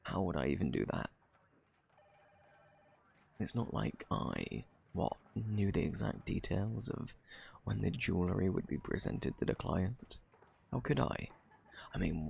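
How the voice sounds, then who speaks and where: Man acting a gravelly, flirty British thief. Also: deep voice, lively intonation, a little mellow, slight background noise, full character voice. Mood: sly, smug. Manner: playful, taunting, drawn-out theatrical delivery. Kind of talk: ASMR roleplay, intimate scripted monologue.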